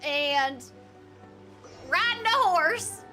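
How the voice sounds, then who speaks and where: A swaggering cowgirl sheriff with a thick, exaggerated Southern US drawl, as a woman puts her on. She leans hard into each long, slow word, and the voice stays high and bright. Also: animated, soft background music, full character voice. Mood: hammy swagger. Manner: hammy, playful, drawn-out words.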